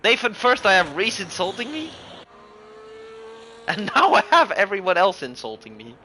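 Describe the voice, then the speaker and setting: A man's voice: high voice, very animated, normal speed, pushed and bright, a little hiss or hum. A man from Europe giving casual live sim racing commentary as a livestream host, mixing it with jokey, mock-indignant banter.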